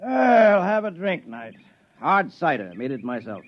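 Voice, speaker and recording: An actor playing an idle, bookish, sardonic neighbour in a 1950s radio drama, musing at leisure. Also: male voice, a little high, big pitch swings, a little slow. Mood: wry. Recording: dull vintage radio recording.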